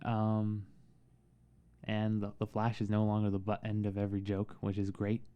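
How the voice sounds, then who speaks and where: A man giving a casual, halting film review in a soothing voice, with frequent pauses and restarts. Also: on the low side, completely flat delivery, clean tone, dull audio. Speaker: movie reviewer.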